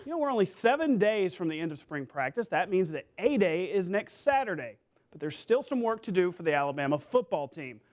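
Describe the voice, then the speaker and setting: A man working as a TV sports anchor, narrating scripted highlights in an energetic, playful way. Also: a little fast. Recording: music bed behind the voice.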